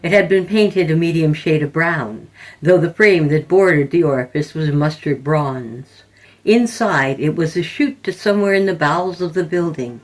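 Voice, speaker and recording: A woman hosting a fiction podcast and reading a scripted horror story in a deliberate, descriptive way. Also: low-pitched. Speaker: podcast host and story narrator.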